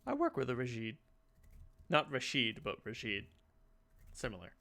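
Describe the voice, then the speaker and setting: Man, game master, deadpan teasing, voice call, mid-pitched. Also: moderate pace. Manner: dry, teasing. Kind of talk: casual out-of-game chat. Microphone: online voice call.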